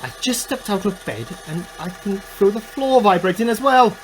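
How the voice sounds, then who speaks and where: A man's voice: high voice, expressive, normal speed, poor audio. A man playing an eccentric amateur scientist who earnestly records a self-important secret diary in a radio play. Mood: alarmed, amazed. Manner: earnest, self-important, musing aloud in dated log entries.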